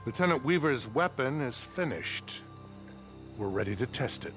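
An actor playing a militia colonel in a game cutscene, commanding and formal. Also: male voice, medium pitch, expressive, normal speed, a bit bright, natural voice. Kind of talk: video game cutscene acting. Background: game music.

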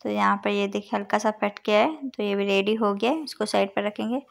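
A woman with an Urdu-influenced accent narrating a recipe step by step as a cooking channel host, calm and instructive as she counts out each measure. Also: medium pitch, brisk, vocal fry. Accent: Urdu-influenced Hindustani. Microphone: voice-over over overhead cooking shots.

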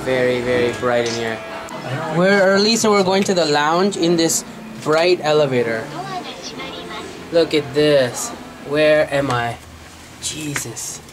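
A man's voice: fairly high pitch, slow pace, long pauses. A man in his thirties giving a chatty, wide-eyed vlog tour of a venue, filmed on a handheld camera. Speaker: travel vlogger. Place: busy station and neon-lit venue, handheld. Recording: loud music behind.

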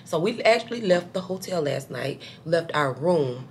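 A woman's voice: low voice, animated, normal speed, creaky, slight background noise. An older woman, worn out and chatting casually to her camera in a hotel room. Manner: chatty, says y'all a lot. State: tired. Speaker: vlogger. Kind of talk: casual vlog chat to camera.

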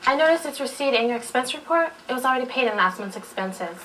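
A woman's voice: sits high, lively intonation, fast, sharp tone. A young woman acting as a dutiful, hesitant office assistant in a training drama. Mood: hesitant, deferential. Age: young adult. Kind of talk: acted corporate training drama. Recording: noisy, re-filmed off a TV screen.

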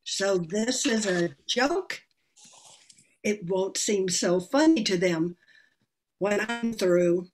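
A woman's voice: mid-range voice, slight background noise, lightly acted. An older woman playing a meddling, proper old aunt with an earnest, moralizing manner in an amateur radio play. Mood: indignant. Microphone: computer on video call.

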